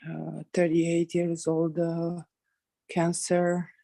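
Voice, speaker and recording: A woman from Romania, grieving and asking a meditation teacher for guidance in halting speech over a video call. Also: lowish voice, completely flat delivery, vocal fry, dark tone, no echo. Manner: halting, with many fillers.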